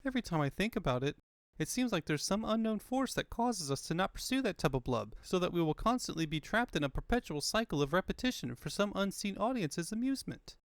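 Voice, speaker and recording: A man puts on a young fox sidekick for a cartoon. He is earnest and full of admiration, speaking somewhat high with animated intonation. The sound is dry. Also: a little fast, no room sound. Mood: puzzled. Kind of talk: comic book fan dub.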